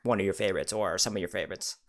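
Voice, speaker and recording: A woman's voice: extremely low pitch, rapid-fire. A woman hosting a casual interview, chatty and easygoing in her questions. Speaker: interview host.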